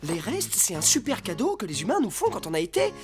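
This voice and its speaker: An actor voicing an excitable, bossy but well-meaning animal in a French cartoon, over music. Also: mid-range voice, brisk. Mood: earnest. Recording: loud music behind the voice.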